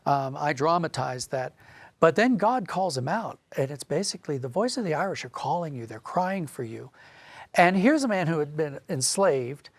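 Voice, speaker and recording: Male interview guest, writer type, lighter-pitched, animated conversational storyteller, dry studio sound. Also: moderate pace, dry recording.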